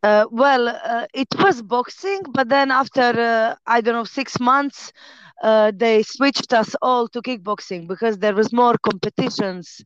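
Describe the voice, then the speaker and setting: A woman in her thirties from Croatia with a Croatian accent, a professional fighter and trainer chatting as a podcast guest, relaxed and quick to laugh, pausing often on "uh". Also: fairly high pitch, no echo, lo-fi sound. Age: 30s. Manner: relaxed, laughs easily, many "uh" pauses in English. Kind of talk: casual interview chat.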